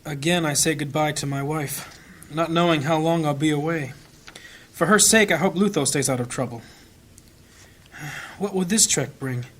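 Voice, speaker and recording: Actor as an anxious, high-voiced gentle priest. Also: male voice, normal speed, slight background noise, natural voice. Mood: mournful. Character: gentle, anxious priest, guilt-ridden and reluctant adventurer. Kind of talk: fantasy audio drama acting.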